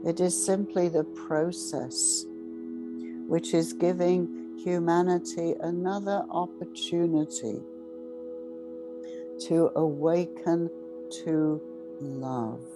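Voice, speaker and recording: Woman, lower-pitched voice, extremely slow pace, solemn guided meditation, measured phrases, long pauses, clear music behind. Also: fairly even intonation.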